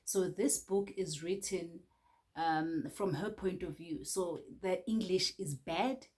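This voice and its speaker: A woman's voice: fairly low pitch, slow, a little mellow. A Nigerian woman reviewing a book in a casual chat, hesitant, with many fillers and restarts.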